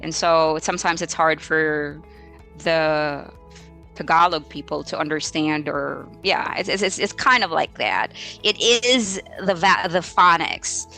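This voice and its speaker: A Filipino-accented woman with a bright, forward voice teaches informally on a livestream. She takes her time, often halting to think aloud and hunt for the right word, then offers spoken examples. Soft music plays faintly underneath. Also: deep voice, vocal fry. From Philippines. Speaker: English teacher hosting a live Q&A. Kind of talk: informal live teaching advice.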